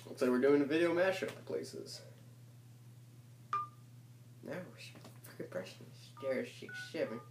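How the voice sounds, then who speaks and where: A man's voice: mid-range voice, expressive, a little slow, long pauses. A man with an ordinary mid-range voice hosts homemade prank calls in a crude, joking, rambling way. He swears casually as he chats. The recording is homemade, with a faint layer of noise. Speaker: prank caller hosting his own video. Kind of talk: casual chat, crude and profane. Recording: noisy homemade call recording.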